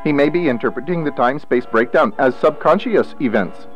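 A man's voice: medium pitch, animated, brisk, lo-fi sound, soft background music, close to an everyday voice. A man acting in a radio play as a formal, earnest salesman-technician who speaks precisely. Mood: clinical. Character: formal, earnest male salesman-technician, secretly a time investigator.

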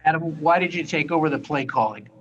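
A man's voice: fairly high pitch, expressive, fast talker, a bit bright, dull audio. A male reporter putting pointed, skeptical questions to a coach at a press conference.

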